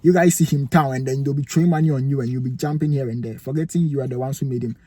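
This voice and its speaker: A man's voice: medium pitch, steady tone, rapid-fire, mellow, dry recording. A young man with a Ghanaian accent giving emphatic motivational advice to camera, asking rhetorical questions, indoors in a cold room. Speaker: vlogger giving life advice.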